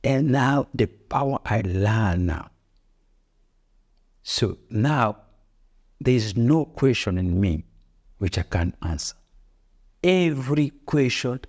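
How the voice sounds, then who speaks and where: Middle-aged Rwandan-accented man, fairly low voice, earnest motivational co-host who repeats himself. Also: a little mellow, slightly rough. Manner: earnest, preachy, repeats phrases, broken English. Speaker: podcast co-host, father. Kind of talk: casual motivational chat.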